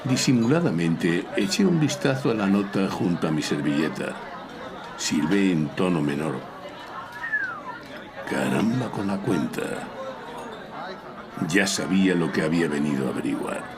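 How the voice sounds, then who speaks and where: Dry noir lawyer narrating, deep and gravelly, live audio drama with crowd noise. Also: male voice, lively intonation, unhurried, pauses often. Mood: wry, confidential. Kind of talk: hardboiled first-person audio drama narration. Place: recorded live before an audience in a library. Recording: live stage recording, audience noise.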